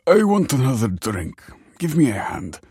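A man voicing a wry, kindly old grandfather in an audiobook, with a husky voice and a reassuring manner. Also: mid-range voice, very animated, normal speed, darkish tone, no echo, clean studio sound, voice pushed up. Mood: gruff, concerned. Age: older. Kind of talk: audiobook character dialogue.

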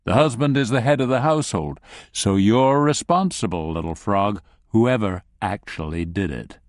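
A man voicing a calm, menacing thug. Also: fairly low pitch, dry recording, studio quality, pitched below the performer's own voice, natural voice. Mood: quiet menace. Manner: soft, unhurried, mocking. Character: calm menacing male thug, amused and cruel.

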